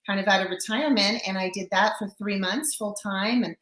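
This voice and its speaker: A chatty woman from Canada in her forties talks with an upbeat, rambling ease, full of ums and asides. Her voice is bright and forward. The sound is echoey, as if she were in a bare room. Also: medium pitch, brisk. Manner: chatty, upbeat, many fillers. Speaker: guest. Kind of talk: casual interview chat.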